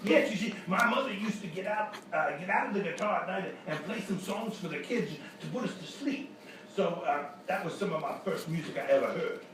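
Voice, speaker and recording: Old bluesman acted by a man, radio sketch comedy, folksy, rambling, genial, halting, fairly high voice, distant mic, heavy echo, noisy live recording. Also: expressive. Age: older. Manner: folksy, rambling, chuckling storyteller with halting repeats. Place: live show in a hotel room.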